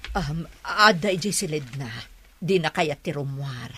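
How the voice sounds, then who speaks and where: A woman's voice: deep voice, unhurried, a bit bright, breathy edge, heavily acted. A Filipino woman playing a kindly, sorrowful middle-aged mother in an Ilocano radio drama. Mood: welcoming.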